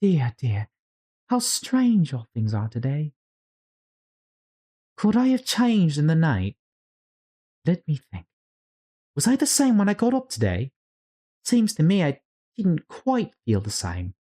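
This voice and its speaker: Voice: medium pitch, wildly swooping pitch, takes it slowly, pauses often, warm tone, breathy edge, voice pushed up, full character voice. A storyteller voicing a dramatic, bewildered young girl who frets and scolds herself in a storybook. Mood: confused, worried. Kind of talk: storybook character read-aloud.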